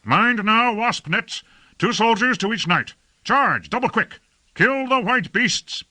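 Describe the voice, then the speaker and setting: A man's voice: a little high, normal speed, bright, forceful tone, dry recording, voice pushed up. A man voicing a commanding, imperious lord who barks clipped, urgent orders at soldiers in an audiobook story. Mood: shouting, fierce. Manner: clipped, barked military orders.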